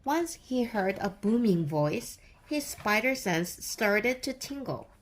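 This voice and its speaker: A woman reading a children's story aloud as scripted narration, with deliberate, expressive storytelling. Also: mid-range voice, slight background noise. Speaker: storybook reader.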